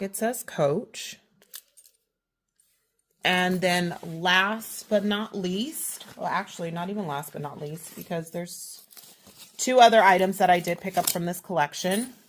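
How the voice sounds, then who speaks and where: A woman with a slight cold and a sniffle, chatting through a shopping haul and correcting herself as she goes. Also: fairly low pitch. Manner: chatty, self-correcting, detailed. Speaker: haul vlogger. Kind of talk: casual product haul walkthrough.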